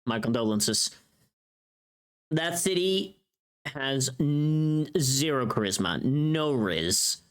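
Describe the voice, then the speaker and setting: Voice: mid-range voice, animated, takes it slowly, pauses often, clear, resonant tone, low-quality recording. A livestreaming VTuber with a mid-range voice, talking casually to chat. The delivery is loose and rambling, teasing and informal, with a bit of mild swearing.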